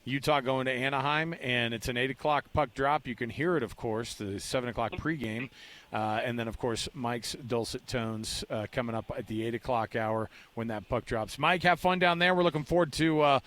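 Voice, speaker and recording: A man with an American accent and a mid-pitched voice hosts sports radio. His delivery is casual and conversational, like easy studio chat. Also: normal speed, a bit bright.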